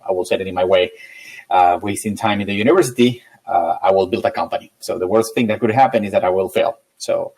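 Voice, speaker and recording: Low-voiced Peruvian man being interviewed. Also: fast talker, a little mellow, low-quality recording. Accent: Peruvian Spanish. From Peru. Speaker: interview guest, tech company founder. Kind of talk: conversational interview answers.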